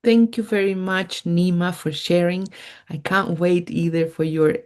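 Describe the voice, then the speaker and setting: A woman moderates an online panel, thanking each speaker and passing the microphone on. Her voice sits fairly low for a woman, and her manner is courteous and gracious. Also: fairly even intonation, measured pace, a little mellow.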